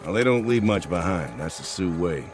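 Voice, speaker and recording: An actor playing a weathered frontier army scout with a dry, laconic manner. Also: male voice, low voice, animated, normal speed, faint music behind. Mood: wary. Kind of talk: radio drama acting.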